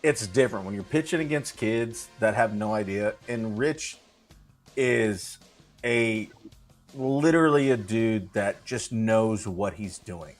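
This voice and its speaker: A man in his thirties, a former pitcher on a sports panel, talking casually in a blunt, repetitive way. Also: slow pace, faint music behind. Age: 30s.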